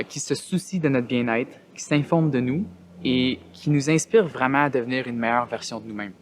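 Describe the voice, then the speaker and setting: A young man from northern Ontario with a Canadian French accent, giving an earnest personal testimonial as a student resident, often pausing on fillers and restarting his phrases. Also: medium pitch, slight background noise. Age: young adult. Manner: earnest, hesitant with frequent "euh" fillers and restarts.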